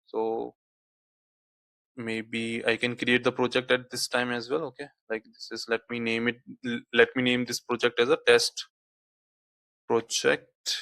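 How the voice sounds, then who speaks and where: A man whose first language is Hindi, walking through a software tutorial on screen, peppering his speech with "okay, like" fillers. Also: medium pitch, little pitch movement, a little slow, vocal fry, dry recording. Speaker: tutorial instructor.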